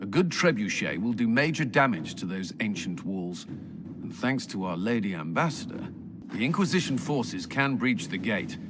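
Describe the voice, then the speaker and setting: A dutiful fantasy army commander with a fairly low voice. He speaks in a measured, formal and clipped military way, earnest and serious about his duty. The sound has long reverb and noisy ambience, as in a video game. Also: very animated. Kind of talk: dramatic video game voice acting.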